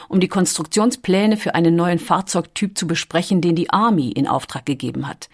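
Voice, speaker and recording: A woman narrating a documentary in a calm, even storytelling manner. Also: lowish voice.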